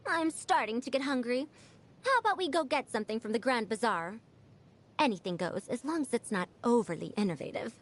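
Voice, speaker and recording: An actress playing a proud, bossy ancient scholar who sounds youthful, lecturing theatrically as a video game character. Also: female voice, a little high, animated, fairly quick. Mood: grumbling.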